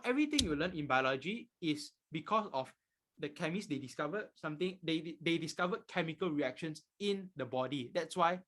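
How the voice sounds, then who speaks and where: A man's voice: a little high, bright, forceful tone. A nineteen-year-old man with a Malaysian accent teaching an informal online class, emphatic and repeating his key points. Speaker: physics tutor.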